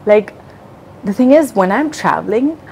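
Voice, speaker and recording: A woman's voice: medium pitch, animated, moderate pace, gravelly, darkish tone, a little hiss or hum, low-quality recording. A young adult woman from New Zealand, chatting candidly in an interview with many fillers and quoted asides. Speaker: interview guest, content creator.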